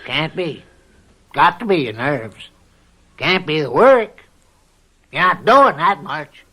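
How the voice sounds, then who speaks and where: An actor playing a leering, domineering older farm uncle in a radio drama, with a folksy, mock-friendly needling manner. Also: male voice, fairly high pitch, sing-song, slow pace, bright, forceful tone, full character voice.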